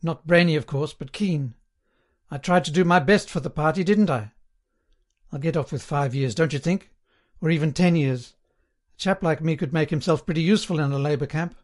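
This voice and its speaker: A chummy, servile party man, as a male narrator puts him on, pitched on the high side. He chats eagerly and earnestly, sententious and sanctimonious, as a character in an audiobook novel. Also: normal speed, lightly acted. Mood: pleading, servile.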